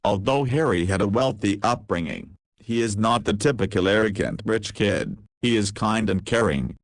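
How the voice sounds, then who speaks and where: Male narrator, scripted storytelling, fairly low pitch, dry close recording. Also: no echo.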